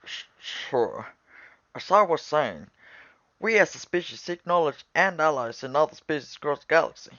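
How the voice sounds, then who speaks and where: A man plays a patient alien military commander from an animated sci-fi film. He speaks formally and proudly, like a mentor briefing trainees. The recording is very dry and slightly dull. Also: a little high, no echo. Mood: instructive. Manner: formal, explanatory, measured.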